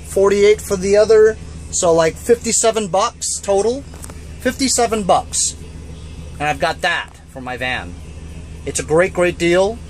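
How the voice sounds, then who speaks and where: A man's voice: sits high, a little hiss or hum. A man giving a casual, off-the-cuff gadget review in a laid-back, colloquial way, as a vlogger talking inside a van.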